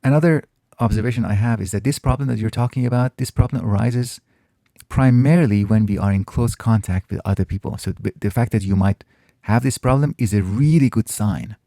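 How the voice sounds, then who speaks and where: Host, male, Farsi native, low and gravelly, mellow, reflective and halting, dry close sound. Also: no room sound. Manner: thoughtful, pausing often and restarting phrases. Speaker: channel host answering viewer questions. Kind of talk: casual reflective Q&A monologue.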